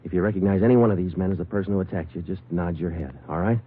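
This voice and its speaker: A man playing a terse, steady police sergeant in an old radio crime drama, clipped and deadpan. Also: deep voice, very fast, breathy edge. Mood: patient, steady. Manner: clipped, matter-of-fact, deadpan. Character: terse, steady police sergeant detective narrating his case. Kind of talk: 1950s radio crime drama acting and narration. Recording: muffled old radio recording with music.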